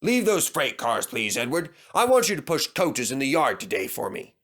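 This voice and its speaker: A man's voice: medium pitch, big pitch swings, moderate pace, a bit bright. A man voicing a pompous, stern but fatherly railway boss with a formal, authoritative manner in a children's story. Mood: kindly firm.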